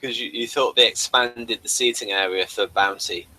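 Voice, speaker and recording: A man's voice: on the low side, steady tone, moderate pace, pushed and bright, rough recording. A man hosting a casual review chat, explaining things and giving his opinions. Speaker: podcast host reviewing classic TV episodes.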